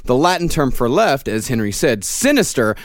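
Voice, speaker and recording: A man hosting a podcast, reading prepared research in a measured, explanatory way. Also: mid-range voice, big pitch swings, no room sound, clean studio sound. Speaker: podcast host presenting the research. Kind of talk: reading prepared notes between banter.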